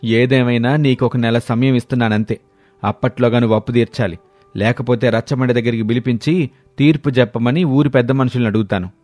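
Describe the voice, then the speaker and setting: A man voicing a stern village moneylender who demands his debt be repaid, speaking loudly and threateningly. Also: medium pitch, fairly even intonation, a little fast, no echo, voice pushed up, natural voice.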